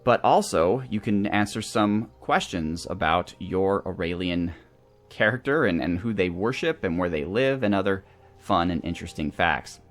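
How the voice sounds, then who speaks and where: Low-voiced man doing game commentary. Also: animated, moderate pace. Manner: thinking aloud, weighing options. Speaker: host of a gaming series. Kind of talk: casual video game playthrough commentary. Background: background music.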